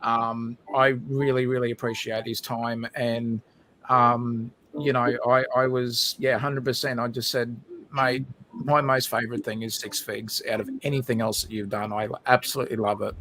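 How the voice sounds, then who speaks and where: A man's voice: mid-range voice, little pitch movement, normal speed, sharp tone, poor audio. A man with an Australian accent, a guest chatting casually on a livestream, rambling with many fillers and restarts. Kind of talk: casual chat.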